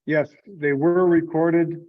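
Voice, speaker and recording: A man's voice: on the high side, steady tone, takes it slowly, no room sound, narrow, tinny audio. A man leading an informal meeting discussion over conference call audio.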